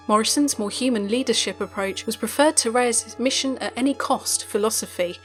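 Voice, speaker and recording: A woman narrating game character lore in a chatty, speculative scripted style with light jokes. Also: a little high. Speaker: video narrator.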